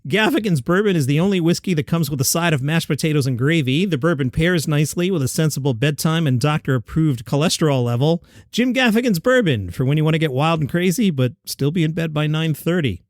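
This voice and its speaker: A man's voice: on the high side, lively intonation, fairly quick, few pauses, no echo. A man hosting a comedy news podcast, reading headlines with dry offhand asides, his voice a little worn. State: slightly worn voice.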